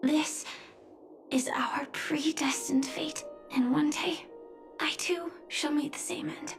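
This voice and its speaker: High, unhurried young knight. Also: female voice, fairly even intonation, vocal fry, in a whisper, heavily acted. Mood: quiet grief. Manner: solemn, restrained, reflective. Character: grieving, resolute young female knight soldier. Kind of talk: dramatic video game voice acting. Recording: roomy echo, music underneath. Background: music.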